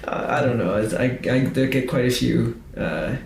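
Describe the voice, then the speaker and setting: A man's voice: medium pitch, normal speed, vocal fry. A man talking as a guest on a podcast in casual conversation, chatty and thinking aloud. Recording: roomy sound with faint background noise.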